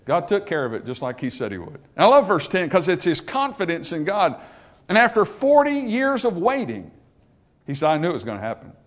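A man preaching a sermon, swinging from loud emphatic peaks to quiet low asides. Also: wildly swooping pitch, a little fast.

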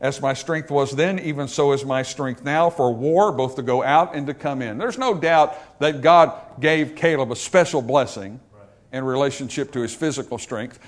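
A man preaching a sermon, swinging from loud emphatic peaks to quiet low asides. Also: moderate pace.

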